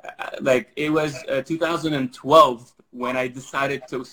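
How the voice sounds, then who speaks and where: A man's voice: medium pitch, fairly even intonation, unhurried, fairly bright tone, low-quality recording. Rambling Syrian-raised man. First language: Armenian. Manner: rambling, many fillers and restarts. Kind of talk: casual interview answers.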